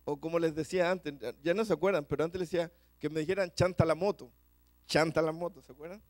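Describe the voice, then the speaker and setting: A man with a Chilean accent preaching a sermon to a church congregation, talking quickly and often repeating words as he goes. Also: fairly high pitch, fairly even intonation, a little mellow, no echo. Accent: Chilean Spanish. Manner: fast-talking, repeats words when rushing.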